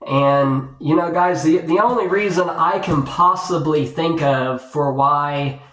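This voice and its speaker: A man giving an unscripted advice monologue to viewers, speaking in a halting, repetitive, lecturing way. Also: fairly high pitch, a bit bright. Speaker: video blogger giving dating advice. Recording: roomy, echoing sound.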